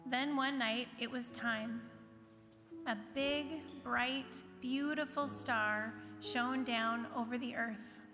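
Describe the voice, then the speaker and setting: A woman's voice: a little high, fairly even intonation, slow pace. A woman reading a children's storybook aloud as narrator in a large church space. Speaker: story reader. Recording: reverberant hall, music behind the voice. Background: soft instrumental music.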